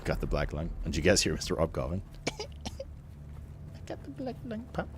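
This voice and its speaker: Deep-voiced man, Romanian-born, profane rambling streamer over heavy game noise. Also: huge pitch swings, moderate pace. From Canada. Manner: rambling, profane, joking banter. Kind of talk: casual gaming stream chat. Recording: loud game noise behind voice.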